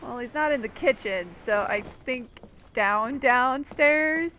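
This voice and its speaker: A woman's voice: sits high, slow, pushed and bright, poor audio. A woman giving casual, informal, reactive commentary over a game playthrough. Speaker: gaming video commentator. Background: game music.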